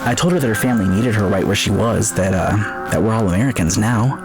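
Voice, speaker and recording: A traumatized army private left alone after the apocalypse. His voice is fairly low, weary and halting, with a bitter wry edge. It is a radio drama transmission with loud music underneath. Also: gravelly. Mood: haunted, reminiscing. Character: weary, traumatized lone male army private, sole survivor.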